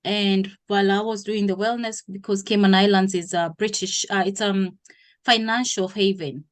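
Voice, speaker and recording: A woman from Kenya in her thirties, a guest in an interview, who explains things at length with pauses and self-corrections. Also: mid-range voice, steady tone. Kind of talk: interview conversation.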